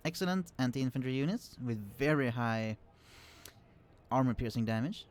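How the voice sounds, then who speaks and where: A man's voice: mid-range voice, expressive, normal speed. Man explaining a strategy game. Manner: explanatory, many fillers and restarts. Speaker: let's play host. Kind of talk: casual gameplay commentary. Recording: quiet voice, turned down to hide computer hum.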